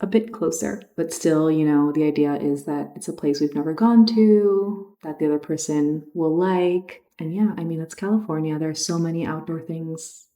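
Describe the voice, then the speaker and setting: A tired woman vlogger who natively speaks Spanish, chatting casually and reflectively, sometimes halting to rephrase. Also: low voice, fairly even intonation, dark tone. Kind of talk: casual reflective chat to camera.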